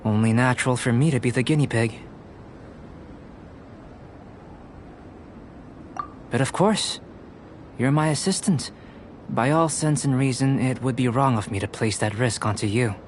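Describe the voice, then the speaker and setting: A man voicing a calm, detached young alchemist in an anime-style video game, speaking in a measured, precise, professorial way. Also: mid-range voice, a little hiss or hum, lightly acted. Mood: clinical, assured.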